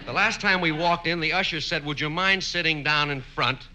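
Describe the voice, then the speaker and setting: An actor playing a blustering, henpecked neighbor husband who gets flustered, in 1940s radio sitcom style. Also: male voice, a little high, moderate pace, sharp tone, a little hiss or hum, poor audio. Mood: blustery.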